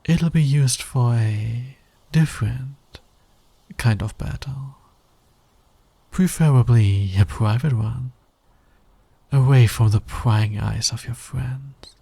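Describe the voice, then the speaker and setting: Male actor as smug fantasy villain, very breathy husky voice, gravelly fry, teasing, dominant, ASMR roleplay. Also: mid-range voice, dark tone, no room sound, full character voice. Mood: confident, challenging. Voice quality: breathy, husky, often dropping to a whisper. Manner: teasing, slow, deliberate, dominant. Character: flirty, smug male fantasy villain, patient, smitten with hero. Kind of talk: intimate ASMR roleplay acting. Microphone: close microphone, dry sound.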